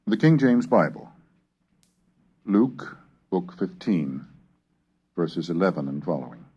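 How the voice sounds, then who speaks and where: A man reading a scripted educational narration in a formal, measured, oratorical manner. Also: deep voice, very animated, warm tone, slightly rough. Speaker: lecturer and reader. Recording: dull lo-fi cassette recording.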